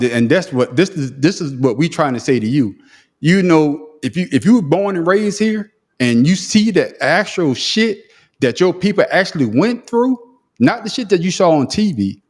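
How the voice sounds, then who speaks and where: A man's voice: fairly high pitch, darkish tone. A man hosting a casual podcast, arguing emphatically and restarting his sentences often. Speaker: podcast host. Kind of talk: heated casual debate.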